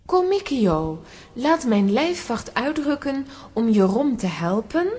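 A woman's voice: a little high, very animated, slow, a little mellow, a little hiss or hum. A gracious, dignified princess in a children's radio play. She speaks in a stately, commanding way with a Flemish accent. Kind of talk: children's audio drama acting.

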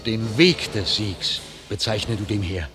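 A man playing a loyal knight, declaiming steady earnest verse in a classic radio play. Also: fairly low pitch, a little slow, faint music behind.

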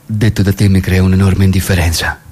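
A man's voice: sits low, little pitch movement, brisk, darkish tone, slightly rough. An actor in a radio theatre comedy playing a tender, earnest boyfriend who talks reassuringly and repeats his phrases. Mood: sincere, sweet. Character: tender, earnest boyfriend declaring indifference sweetly. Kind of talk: radio theatre comic dialogue.

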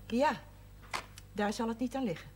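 A woman's voice: fairly high pitch, moderate pace, a little mellow, close to an everyday voice. An actress playing a proud, sober widowed shopkeeper and mother in a naturalistic television drama. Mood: sober, subdued. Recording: faint noise, 1970s television soundtrack.

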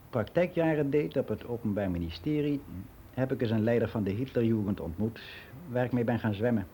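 Actor as mellow older man reminiscing, faint hiss. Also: mid-range voice, lightly acted. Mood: matter-of-fact, reminiscing. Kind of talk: radio play monologue as a personal testimony.

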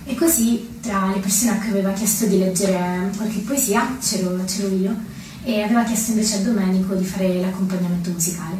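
A woman's voice: medium pitch, a little fast, dark tone, a little hiss or hum. A woman who performs poetry in a music duo, speaking as for a recital audience. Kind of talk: spoken introduction and dramatic poetry recital with music. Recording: very echoey, distant from mic. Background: plucked guitar music.

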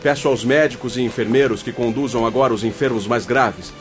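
A man acts a learned, composed male spirit instructor in a radio drama. His medium-pitched voice is formal and authoritative yet courteous. Faint music runs beneath. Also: fairly quick, lightly acted. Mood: courteous authority.

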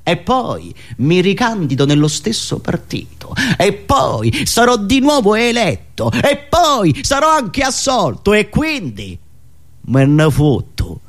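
Man putting on an arrogant, irritated politician, high-pitched. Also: huge pitch swings, slow, a bit bright, exaggerated voice. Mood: smug, brazen. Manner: irritated, haughty, brazen. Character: arrogant, annoyed male politician, shamelessly untouchable.

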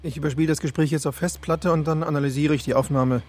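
An actor playing a calm, practical communications expert giving step-by-step instructions. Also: male voice, on the high side, quick pace, a little hiss or hum, close to an everyday voice. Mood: instructive.

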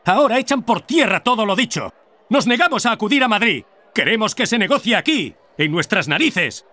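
A lively, fiery union worker. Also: male voice, high voice, a little fast, dry recording, exaggerated voice. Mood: rousing. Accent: Spanish. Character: fiery male union worker rallying comrades. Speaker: union worker addressing an assembly.

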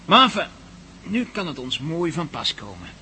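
A man's voice: fairly high pitch, very animated, normal speed, bright, forceful tone, heavily acted. A man playing a wary, practical cameraman in a radio drama, talking in a dry, slightly hesitant way. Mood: dryly rueful. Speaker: actor in a radio play. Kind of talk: Dutch radio drama acting. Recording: radio play with faint noise.

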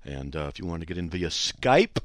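A man hosting a call-in radio show from a studio, his voice hoarse and scratchy, chatting and joking with listeners. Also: sits low, sing-song, brisk, dry recording. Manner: chatty and joking, breaking off to talk to people behind the studio window. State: hoarse, voice still shot. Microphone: studio microphone.

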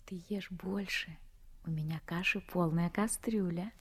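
An actress playing a gentle, caring young newlywed in a radio play, speaking softly and breathily, often half in a whisper. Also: female voice, lowish voice, fairly even intonation, a little slow, husky. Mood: tender, homely. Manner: gentle, homely, fussing softly. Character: slim, tender, caring young newlywed woman.

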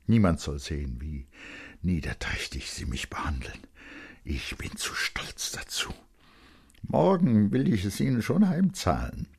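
A proud, hurt boy broods in secret voiced by a grown man in a radio reading. The voice is low and husky, agitated and resentful, as if plotting revenge alone. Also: very animated, unhurried, darkish tone, heavily acted. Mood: agitated, scheming. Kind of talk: literary radio play inner monologue.

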